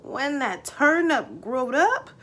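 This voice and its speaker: Storybook role: woman as old country papa, folksy, boastful, slow-spoken with bright, forceful delivery. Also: sits high, sing-song, pitched above the performer's own voice, exaggerated voice. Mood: boastful, reminiscing. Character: old farmer father, tall-tale bragging, folksy and soothing.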